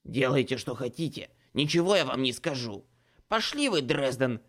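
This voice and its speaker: A man's voice: a little high, very animated, moderate pace, a bit bright, pitched above the performer's own voice. A man voicing a cowardly young changeling who whines and pleads in a panic, read as an audiobook character. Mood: defiant.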